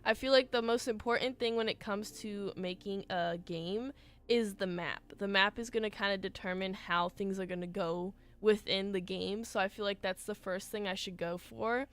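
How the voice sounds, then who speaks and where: Chatty woman streamer, a bit hoarse. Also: medium pitch, normal speed, fairly bright tone. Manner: chatty, rambling, many fillers. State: slightly hoarse from a cold. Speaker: game streamer. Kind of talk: casual livestream chat while building.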